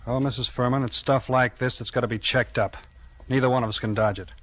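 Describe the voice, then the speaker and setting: A man with an American accent acting a steady, tough small-town police chief in a 1940s radio crime drama, plain-spoken and authoritative. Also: medium pitch, brisk, close to an everyday voice. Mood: firm, businesslike.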